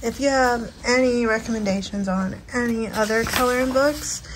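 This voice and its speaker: A young woman hosting a casual, soft-spoken flip-through at home, talking gently and relaxed with lots of "like". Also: a little high, moderate pace, noisy background. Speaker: channel host. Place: indoors at home, over a bed.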